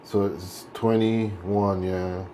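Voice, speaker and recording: A man's voice: low voice, flat delivery, extremely slow, mellow, a little hiss or hum. A man in his late forties chatting casually while shaving, rambling and correcting himself as he goes. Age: 40s. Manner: rambling, self-correcting, laid-back. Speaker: vlogger.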